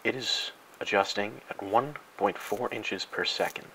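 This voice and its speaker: Guy doing spoof educational film narration, deadpan n grandiose, lowish punchy voice, bit of noise behind. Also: a little slow, rough recording. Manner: mock-serious, grandiose, deadpan. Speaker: narrator and host.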